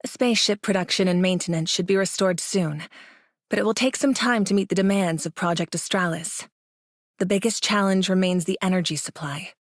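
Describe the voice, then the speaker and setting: A woman playing a composed, dutiful commander in an anime game, speaking in a formal, earnest, measured way. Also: lowish voice, no echo, clean studio sound, close to an everyday voice. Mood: serious. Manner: formal, serious.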